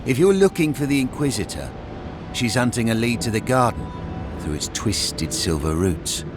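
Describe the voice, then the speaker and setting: A man's voice: medium pitch, expressive, moderate pace, music in the background, lightly acted. Man playing a raving, root-maddened soldier, wildly swooping. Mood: eerie menace. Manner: ranting, sing-song repetition. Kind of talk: dramatic video game acting.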